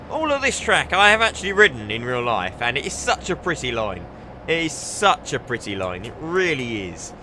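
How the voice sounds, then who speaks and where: A man's voice: medium pitch, noisy background. A young man giving chatty, enthusiastic commentary while playing a train simulator, often restarting his sentences. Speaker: gaming video creator. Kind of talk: casual gameplay commentary.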